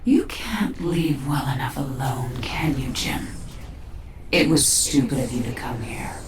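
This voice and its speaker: A woman's voice: rumbling low, big pitch swings, a little slow, recorded from a distance, a crowd in the background, full character voice. An actress voicing a cold, taunting alien queen who speaks in a mocking whisper, in a game cutscene. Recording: echoey with loud ambient noise.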